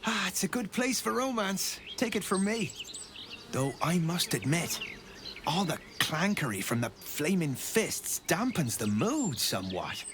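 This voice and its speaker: Smitten townsman: slowish, lilting pitch, gushing, loud insect-filled ambience. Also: very animated, background noise. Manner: gushing, chatty. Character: effusive, lovestruck man boasting of courtship. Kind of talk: video game dialogue.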